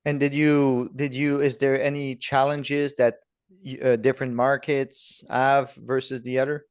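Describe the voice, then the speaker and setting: Upbeat male podcast host, mid pitch, dry studio sound. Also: level tone, a little slow, clean tone, dry recording. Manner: upbeat, direct questioning. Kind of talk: podcast interview.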